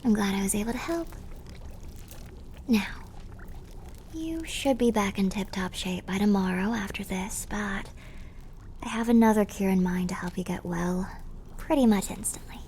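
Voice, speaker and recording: A woman playing a clumsy, affectionate and slightly mad plague doctor girlfriend in a theatrical, breathy ASMR roleplay. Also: mid-range voice, moderate pace, long pauses, darkish tone, a little hiss or hum, lightly acted. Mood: gentle, musing. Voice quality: breathy, often whispered. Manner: theatrical, affectionate, quaint turns of phrase. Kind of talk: ASMR roleplay, scripted character acting.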